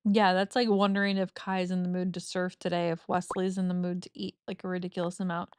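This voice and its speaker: A woman's voice: on the low side, level tone, fairly quick, a little mellow, smooth, no echo, studio quality. A woman chatting casually while playing a cozy farming game, giggly and self-mocking, with a slightly stuffy nose. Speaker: gaming streamer. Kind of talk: casual let's-play commentary.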